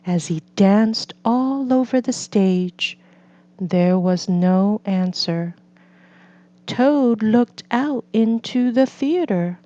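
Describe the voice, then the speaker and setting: A woman reading a children's story aloud as scripted narration, in a measured, deliberate manner. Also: mid-range voice, warm tone, no echo, highs cut off. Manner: measured, deliberate delivery for learners. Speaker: storybook reader.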